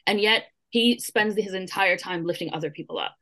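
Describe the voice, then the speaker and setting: A woman chatting on a podcast, telling an animated story with a lot of "like" fillers. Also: medium pitch, normal speed. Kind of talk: casual discussion.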